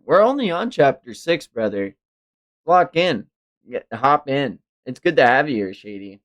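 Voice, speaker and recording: A man hosting a live read-along, reacting casually and expressively, with exclamations and some swearing. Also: mid-range voice. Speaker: livestream host reading manga aloud.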